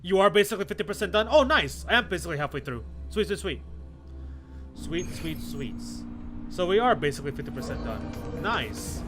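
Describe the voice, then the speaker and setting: A man's voice: high voice, sing-song, brisk. A man livestreaming a game, chatting casually with viewers in slangy, repetitive, think-aloud commentary. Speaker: game streamer. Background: game music.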